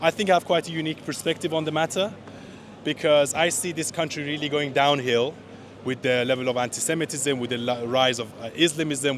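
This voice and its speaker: A man from Iran, interviewed outdoors at a street rally, giving earnest and opinionated answers with frequent quick restarts. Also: medium pitch.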